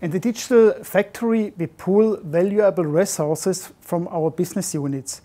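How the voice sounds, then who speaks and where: A man in his fifties answering interview questions in a measured, slightly formal way, recorded on a lapel mic in an office. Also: high voice, mellow. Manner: measured, slightly formal, non-native phrasing. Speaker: software development manager. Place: modern office.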